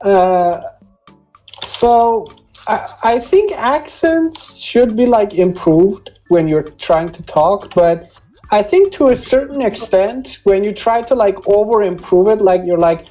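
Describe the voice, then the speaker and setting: A woman's voice: medium pitch, lively intonation, slow, mellow, faint music behind. A woman chatting as a podcast guest, opinionated and stumbling over her words. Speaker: podcast guest, community server owner. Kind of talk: casual podcast chat. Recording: dull lo-fi mic.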